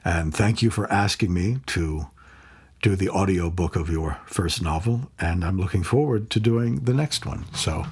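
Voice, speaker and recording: Very husky, low-voiced man interviewing. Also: unhurried, darkish tone. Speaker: interviewer and audiobook narrator.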